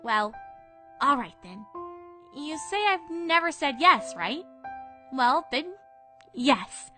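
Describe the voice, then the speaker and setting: Woman doing brainy sensible young pony girl, high lilting voice, kinda skeptical, music under it, fan audio drama. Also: sing-song, slow pace, sharp tone, full character voice. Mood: calm, amused. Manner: questioning, articulate. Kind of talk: animated fan-fiction audio drama acting.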